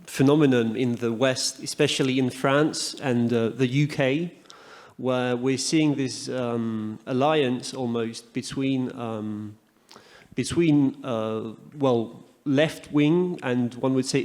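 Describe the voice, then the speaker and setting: A man in a lecture audience, his voice at an ordinary middle pitch, puts a question to the speaker. He is polite and hesitant, with frequent ums as he searches for words and corrects himself. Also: slow pace, a little mellow. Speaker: audience member asking a question. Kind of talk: question from the floor. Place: lecture hall.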